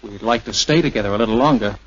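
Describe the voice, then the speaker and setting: A man's voice: lowish voice, fast, close to an everyday voice. Man acting breezy young flyboy major, old dull recording. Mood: earnest, respectful. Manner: smooth-talking, wry, joking. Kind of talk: 1940s radio drama acting. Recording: dull, hissy 1940s radio recording.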